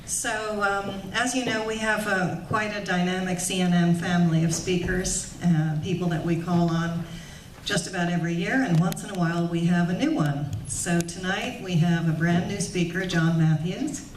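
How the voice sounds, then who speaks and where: A woman from the organization making announcements and introducing the evening's speaker, speaking softly with many "um"s. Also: on the low side, fairly even intonation, normal speed, far from the mic. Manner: soft-spoken, with frequent "um" and "uh". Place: meeting room with an audience. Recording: echoey room recorded from afar with faint hiss.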